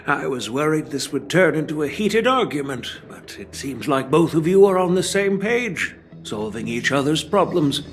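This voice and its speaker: An actor playing an amiable, diplomatic general in a video game. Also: male voice, fairly high pitch, big pitch swings, a little slow, soft background music. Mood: amused, relieved. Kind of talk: English game voice acting.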